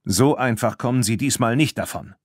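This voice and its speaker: A man's voice: mid-range voice, moderate pace, husky, no echo, lightly acted. A man voices a resolute marquis in a children's audiobook. He speaks with firm, accusing authority, recorded dry and close. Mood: stern.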